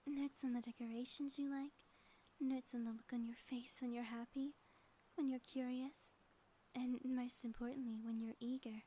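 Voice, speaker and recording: A woman playing a flirtatious, affectionate ex-villain wife in an intimate ASMR audio roleplay, teasing and breathy, often dropping toward a whisper. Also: fairly high pitch, level tone, normal speed, mellow. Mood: fond, teasing. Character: playful, flirtatious ex-villain wife, theatrical yet sappy and affectionate. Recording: faint background noise.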